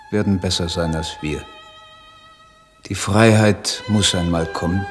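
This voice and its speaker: An actor playing an idealistic, troubled German poet, speaking in a solemn, declamatory radio drama manner. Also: male voice, low-pitched. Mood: fervent hope. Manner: solemn, declamatory, elevated diction. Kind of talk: literary radio drama monologue.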